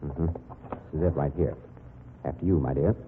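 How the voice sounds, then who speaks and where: An actor playing a brash, wisecracking but big-hearted private detective in an old-time radio drama, with blunt, slangy delivery. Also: male voice, a bass voice, normal speed. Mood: gently breezy. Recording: lo-fi, hissy old radio recording.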